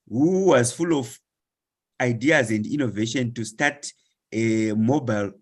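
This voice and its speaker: Slow, husky man. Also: mid-range voice. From Zimbabwe. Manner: slow, hesitant, long lists of words. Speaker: webinar participant. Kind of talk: online discussion question.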